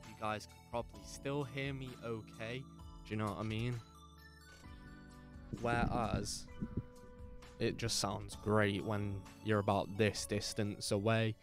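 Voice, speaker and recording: A podcast host with a bassy, slightly gravelly voice, talking casually and rambling, repeating himself, on a dynamic microphone that he moves closer and farther. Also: little pitch movement, takes it slowly, long pauses, over music. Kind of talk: casual talk about microphone settings. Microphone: dynamic microphone, distance varies.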